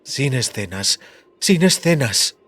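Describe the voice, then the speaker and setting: A man voicing a stern, sarcastic high priestess who speaks brusquely and with authority. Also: big pitch swings, slow, fairly bright tone, heavily acted. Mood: brusque, exasperated.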